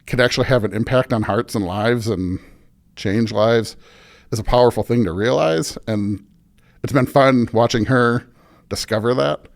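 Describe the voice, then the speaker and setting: A man's voice: mid-range voice, moderate pace, vocal fry. A middle-aged American man chatting casually and telling anecdotes as an interview guest on a podcast.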